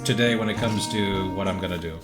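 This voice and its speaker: A male game streamer with a low, bassy voice. He rambles casually in live commentary, with game music clearly audible behind him. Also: fairly quick.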